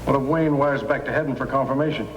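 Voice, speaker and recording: A man's voice: mid-range voice, fast talker, highs cut off, slight background noise, lightly acted. A man playing an anxious, complaining crook, a reluctant henchman, in an old-time radio adventure. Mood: worried. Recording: echoey, faintly humming old radio recording.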